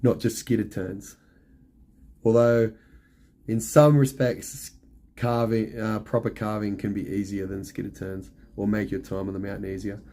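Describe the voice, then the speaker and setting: Hoarse man chatting on a live stream. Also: lowish voice, little pitch movement, measured pace, warm tone. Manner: rambling, full of ums and you-knows. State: hoarse, losing his voice. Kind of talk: casual live stream chat.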